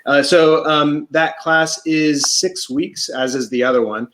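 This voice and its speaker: A man's voice: a little high, steady tone, a little slow, a bit bright. A man interviews an instructor for a comedy theater over a video call, rambling in a chatty way and joking as he goes. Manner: chatty, rambling, keeps making jokes. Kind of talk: casual interview.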